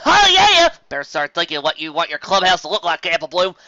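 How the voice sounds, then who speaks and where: A reader voicing a brash female farm pony who talks in an eager, loud way. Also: medium pitch, huge pitch swings, fast, no echo, highs cut off, voice pushed up, full character voice. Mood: excited.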